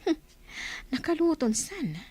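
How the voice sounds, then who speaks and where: A woman's voice: high-pitched, very animated, a little slow, mellow, a little hiss or hum. A woman with an Ilocano accent playing a sly, scheming young woman in a radio drama. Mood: sneaky.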